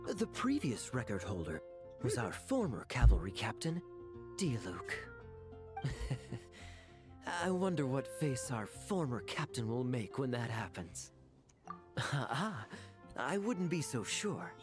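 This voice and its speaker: An actor voicing a suave, playful young knight officer in an anime-style video game, teasing and polished, with music behind him. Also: male voice, mid-range voice, sing-song, slow, long pauses.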